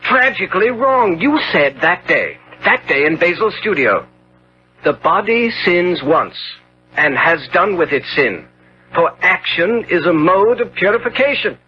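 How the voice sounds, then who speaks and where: Darkly brooding young gentleman, animated. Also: high-pitched, measured pace, soft background music. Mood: bitter, intense. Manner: theatrical, insinuating, veiled. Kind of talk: 1970s radio drama acting. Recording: dull, hissy old radio broadcast.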